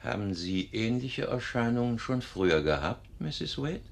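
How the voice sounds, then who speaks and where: An actor playing a sober, measured doctor in a 1950s German radio drama. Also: male voice, mid-range voice, very animated, gravelly. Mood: questioning. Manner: measured, clinical, deliberate. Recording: vintage 1950s radio recording.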